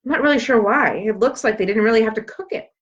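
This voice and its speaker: A woman's voice: a little high, quick pace. Woman reading a children's story, dull lo-fi recording. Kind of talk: expressive read-aloud narration of a children's story. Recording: dull, lo-fi sound.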